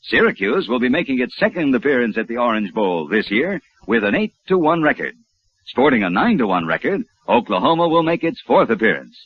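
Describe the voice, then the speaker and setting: A man announcing in formal, emphatic 1950s radio style, with a slightly husky voice. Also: medium pitch, dry recording. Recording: dull vintage radio recording.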